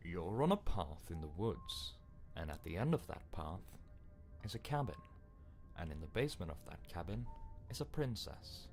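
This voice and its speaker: A man voicing a grave, foreboding narrator of a dark fairy-tale video game, with solemn and deliberate delivery. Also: deep voice, sing-song, soft background music. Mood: solemn, commanding.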